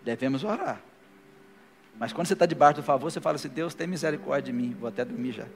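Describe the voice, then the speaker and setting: A man's voice: fairly high pitch, expressive, fast talker, a little hiss or hum. A male pastor preaching a sermon to a live congregation and leading a prayer, his delivery rising to fervent declarations. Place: church hall, live before a congregation. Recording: long hall reverb with faint music.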